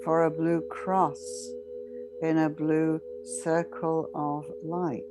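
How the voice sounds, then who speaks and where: A woman with a slightly low voice leads a guided meditation at an extremely slow pace. She speaks solemnly, like a ceremonial invocation, leaving long pauses between short phrases. Meditative music with singing bowl tones plays clearly behind her. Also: little pitch movement, rough recording.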